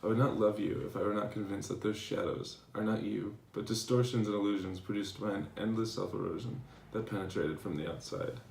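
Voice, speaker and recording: A man playing a devoted philosopher reading his tender love letters aloud in a measured, earnest dramatization. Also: lowish voice, steady tone, gravelly, darkish tone. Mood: reflective, reassuring. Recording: echoey room, distant mic, faint noise.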